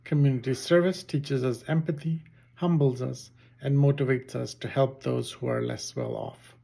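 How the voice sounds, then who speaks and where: Urdu-native male host, creaky mid voice, formal scripted reading. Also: mid-range voice, fairly even intonation, mellow. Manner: measured, formal delivery. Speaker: channel host. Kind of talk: scripted reading of a religious text.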